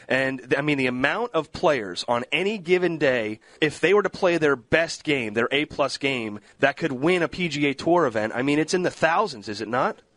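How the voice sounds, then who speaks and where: Male radio host asking measured questions. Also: mid-range voice, a bit bright, no echo. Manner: measured questions, slows for book titles. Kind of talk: radio interview hosting.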